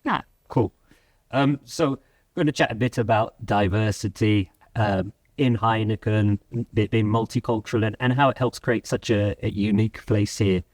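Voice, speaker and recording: A man's voice: on the low side, normal speed, no room sound. A man hosting a casual workplace talk show, asking loose questions with restarts and fillers. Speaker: host. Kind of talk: casual interview.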